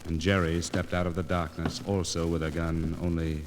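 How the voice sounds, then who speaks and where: An actor playing a grim, wry newspaper reporter in 1940s radio noir style, with terse, clipped, dramatic phrasing. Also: male voice, low voice, little pitch movement, normal speed, lightly acted. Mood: tense, brooding. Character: hard-boiled male newspaper reporter, grim, wry and determined. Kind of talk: 1940s radio noir acting, first-person narration. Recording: crackly, noisy 1940s radio transcription disc.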